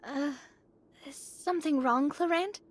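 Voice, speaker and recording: An actress playing a curious, eager young adventurer in a video game, with a deliberate, inquisitive delivery. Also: female voice, high voice, slight background noise.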